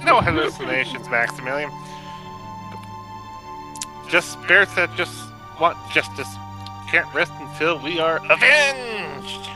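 A man's voice: a little high, expressive, slow, long pauses, over music, rough recording. A man acting theatrically in an amateur radio play.

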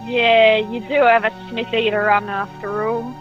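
A woman acts a dry grave-robbing henchwoman in a radio drama. She is measured and matter-of-fact, with a slightly raised pitch. The sound is a little dull, with faint music underneath. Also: little pitch movement, lightly acted. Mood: businesslike. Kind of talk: amateur radio play acting.

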